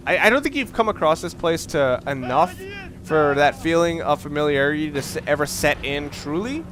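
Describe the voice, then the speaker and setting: Male let's player, fairly high voice, casual and sweary, loose unscripted rambling, noticeable background noise. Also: a bit bright. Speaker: let's play commentator. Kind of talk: casual unscripted gameplay commentary.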